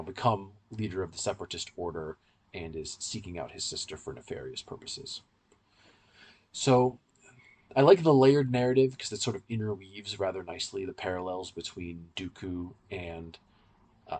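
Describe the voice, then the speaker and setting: A man co-hosting a podcast, giving analytical, explanatory commentary in a conversational, halting way with self-corrections. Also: low-pitched, husky. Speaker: podcast co-host and reviewer. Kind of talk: casual analytical podcast discussion.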